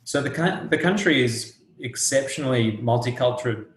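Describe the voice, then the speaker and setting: Thirties man, native Portuguese speaker, easygoing guest, medium pitch, roomy sound. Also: animated, moderate pace, slight background noise. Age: 30s. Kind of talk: casual interview chat. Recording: roomy echo.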